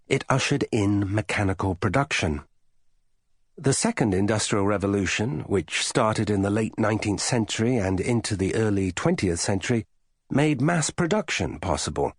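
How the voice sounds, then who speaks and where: A man narrating a non-fiction audiobook in a measured, formal, carefully phrased way. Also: lowish voice, slightly rough, dry recording, studio quality.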